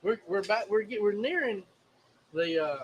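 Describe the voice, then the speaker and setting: A man's voice: sits high, expressive, normal speed, lo-fi sound, a little hiss or hum. A man, co-hosting a casual chat in an easygoing, chuckling way. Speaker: co-host.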